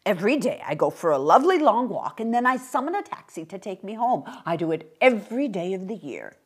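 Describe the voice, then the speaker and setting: A woman voicing a very old, well-spoken gentleman in an audiobook, courteous and pleading, with a hesitant, flustered delivery. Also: medium pitch, big pitch swings, brisk, slightly rough, voice pushed up. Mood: wistful, coaxing. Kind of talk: audiobook character voice.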